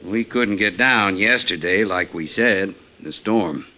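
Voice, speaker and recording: An actor playing a wary, bossy outlaw gang leader in an old radio western, with a folksy, menacingly polite frontier manner. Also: male voice, sits low, takes it slowly, pushed and bright, full character voice. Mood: brisk, businesslike. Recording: dull, noisy 1950s radio recording.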